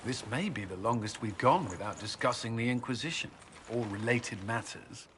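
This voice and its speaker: An actor playing an earnest, dutiful military commander in a video game, reserved but friendly, with formal, courteous speech. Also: male voice, mid-range voice, normal speed, a bit bright, a little hiss or hum, lightly acted. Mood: wistful, relaxed. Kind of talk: video game cutscene acting.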